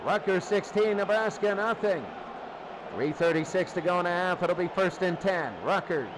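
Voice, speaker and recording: A man calling live American football play by play in an excitable, exclaiming manner. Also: sits high, normal speed. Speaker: play-by-play announcer. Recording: clearly noisy recording.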